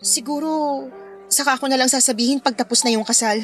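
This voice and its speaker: An actress plays a lovesick Filipino bride-to-be in a radio drama. Her high voice is hesitant and plaintive, stubborn beneath the hurt. Music runs underneath. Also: fairly even intonation, quick pace, lightly acted. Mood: defeated, evasive. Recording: music playing behind the dialogue.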